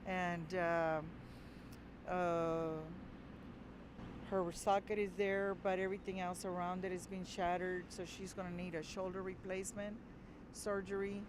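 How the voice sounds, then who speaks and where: A middle-aged woman giving spontaneous interview answers, halting and pausing as she speaks. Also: on the low side, flat delivery. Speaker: interviewee, mother of an injured student. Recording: clear outdoor noise, vehicles.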